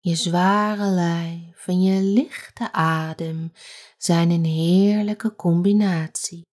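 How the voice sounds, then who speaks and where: A woman leading a guided sleep meditation as a yoga teacher, speaking softly and gently with long pauses. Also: lowish voice, fairly even intonation.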